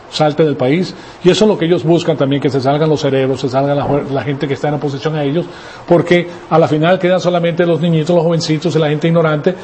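A man's voice: a little high, a little mellow, slight background noise. A man raised in Venezuela preaching a sermon, emphatic, asking rhetorical questions and tagging lines with "ok?". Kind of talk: sermon teaching.

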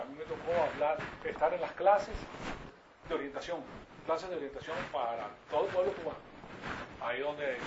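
An older man from Venezuela with a Venezuelan Spanish accent, preaching an informal Bible lesson in a didactic, repetitive way with rhetorical questions to his listeners. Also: fairly high pitch. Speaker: preacher teaching a congregation. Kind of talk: informal Bible-teaching sermon. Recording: distant mic, muffled, clearly noisy.

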